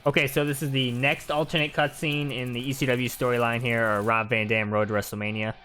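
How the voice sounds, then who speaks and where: A man's voice: medium pitch, flat delivery, brisk, pushed and bright. Casual man commentating with noise behind. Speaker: video game streamer, narrator of playthrough. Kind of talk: casual commentary over gameplay cutscenes.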